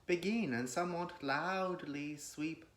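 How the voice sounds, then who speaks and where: A man gives a solemn, declamatory, measured recitation of classical poetry. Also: a little high, a bit bright. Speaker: poetry reader. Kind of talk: dramatic recitation of classical verse. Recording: echoey room.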